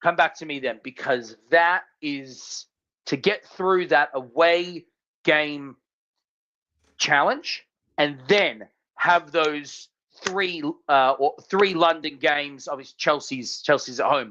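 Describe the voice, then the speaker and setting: A man's voice: fairly high pitch, takes it slowly, bright, forceful tone. Australian man, emphatic podcast co-host. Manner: emphatic, repeats phrases. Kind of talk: casual sports podcast chat. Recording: slightly dull lo-fi audio.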